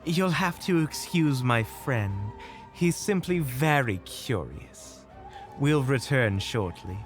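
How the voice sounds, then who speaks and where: A man's voice: medium pitch, expressive, takes it slowly, soft background music. A man playing a polite, dignified and weary leader of adventurers, speaking formally in a fantasy audio drama. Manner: formal, courteous, restrained. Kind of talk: fantasy audio roleplay acting.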